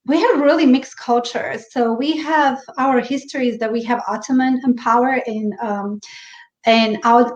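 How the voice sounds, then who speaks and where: Bosnian-accented woman, echoey lo-fi call. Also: on the high side. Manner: warm, chatty, laughs easily, restarts sentences. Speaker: guest. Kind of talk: casual interview chat over a live stream. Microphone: video call. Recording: echoey, dull lo-fi live stream audio.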